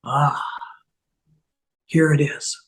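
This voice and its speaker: A man playing a mild, grateful father in a comedy table read, gentle and halting. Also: on the high side, flat delivery. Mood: grateful, sincere.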